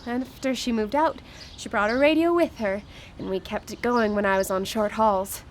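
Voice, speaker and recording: A woman's voice: mid-range voice, moderate pace, natural voice. A woman acting a grieving, short-tempered widow in a live radio drama, speaking with emotional urgency. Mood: wistful. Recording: live radio broadcast with background noise.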